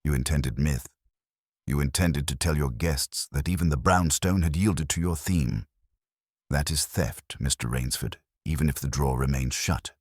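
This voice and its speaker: A man plays a stern older detective in an audio mystery story. The voice is extremely low and slightly husky. He speaks in formal, measured rebukes, pedantic and full of scorn. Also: dry recording, studio quality. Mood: scornful.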